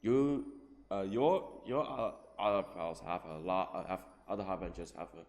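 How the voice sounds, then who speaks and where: A man's voice: sits low, animated, moderate pace, darkish tone. A man giving casual gameplay commentary, reading game text aloud and laughing often. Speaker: game streamer. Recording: echoey room with game music.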